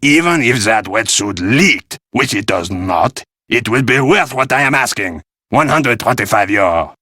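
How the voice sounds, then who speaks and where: An actor playing a polite, insistent Parisian market vendor in a video game, speaking formally with a French accent. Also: male voice, on the low side, animated, a little slow, sharp tone, husky, no room sound, clean studio sound, full character voice. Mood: emphatic. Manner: courteous, formal salesman's patter. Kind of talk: video game character dialogue.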